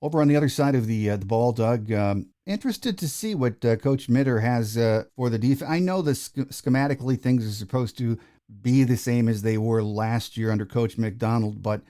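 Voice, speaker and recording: Guy hosting a sports podcast, dark mellow voice, mid pitch, kinda folksy. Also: normal speed. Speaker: podcast host, interviewer. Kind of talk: casual sports interview.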